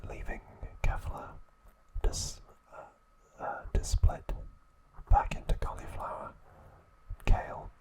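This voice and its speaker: A man narrating trivia as an ASMR host in a breathy, hushed whisper, in short measured phrases. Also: poor audio.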